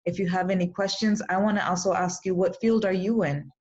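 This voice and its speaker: A woman's voice: fairly low pitch, fairly even intonation, fairly quick, darkish tone. A young woman from Los Angeles, who grew up speaking Spanish, hosts a casual webinar, encouraging and often restarting her phrases. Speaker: webinar host and coach. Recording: slightly dull lo-fi webinar audio.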